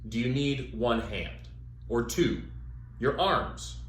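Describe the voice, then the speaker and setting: A man working as a theater educator, guiding listeners through an exercise with measured, step-by-step instructions and pauses. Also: mid-range voice, lively intonation, a bit bright. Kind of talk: instructional demonstration, guided exercise. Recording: echoey room with faint background noise.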